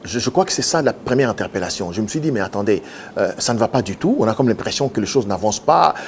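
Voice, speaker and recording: Earnest low-voiced man in his fifties. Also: expressive, slight background noise. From Democratic Republic of the Congo. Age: 50s. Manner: earnest, halting, many filler sounds and restarts. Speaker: politician guest. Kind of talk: TV interview answers.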